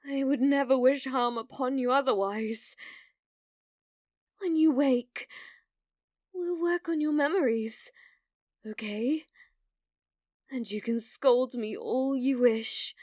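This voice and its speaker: Woman acting a high-voiced, obsessive demon lord girl. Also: slow pace, pauses often, no echo, clean studio sound. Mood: ominous, tender. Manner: theatrical, formal, lovestruck, forceful phrasing. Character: delusional, possessive young woman, self-proclaimed reincarnated demon lord. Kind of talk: ASMR roleplay acting.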